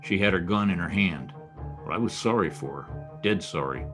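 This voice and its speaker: An actor playing a wisecracking, world-weary private detective in a noir radio drama, wry and sardonic. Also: male voice, deep voice, moderate pace, over music, close to an everyday voice. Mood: grim narration. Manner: wry, sardonic, clipped tough talk. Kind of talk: old-time radio noir acting and first-person narration.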